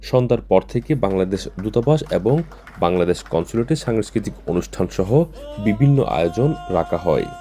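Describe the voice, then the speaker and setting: A man's voice: fairly low pitch, lively intonation, brisk, mellow, slight background noise. A man with a Bangladeshi accent reading a scripted news report as a reporter.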